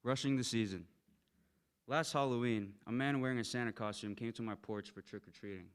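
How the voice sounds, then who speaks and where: A man's voice: medium pitch, fairly quick, clear, resonant tone. A young man, a student, reading a narrative poem aloud from a text. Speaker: student reader.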